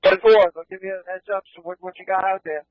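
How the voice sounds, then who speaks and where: Fast, high-pitched male radio controller. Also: steady tone, no echo. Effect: two-way radio. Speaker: fire operations radio controller. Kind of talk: brisk radio questioning. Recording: dull lo-fi scanner radio audio.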